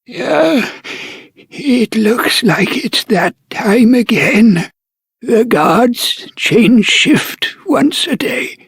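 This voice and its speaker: An actor playing a weary prisoner in a dungeon for a video game, halting and resigned. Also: medium pitch, animated, slightly rough, no room sound, exaggerated voice.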